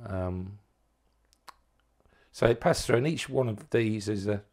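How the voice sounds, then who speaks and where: A man presenting as an electronics hobbyist, explaining things informally and haltingly, often correcting himself as he goes. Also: fairly low pitch, darkish tone. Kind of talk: informal technical walkthrough.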